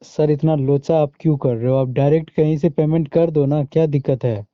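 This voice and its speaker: A man's voice: fairly high pitch, fairly even intonation, brisk, dry recording. An Indian man on a muffled recorded phone call, chatting informally and pushing back with questions. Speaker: online seller on a phone call. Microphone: phone line.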